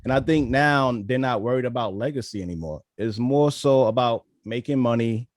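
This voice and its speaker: A male podcast co-host speaking in a relaxed, agreeable way in a casual interview. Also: medium pitch, moderate pace, no echo, studio quality.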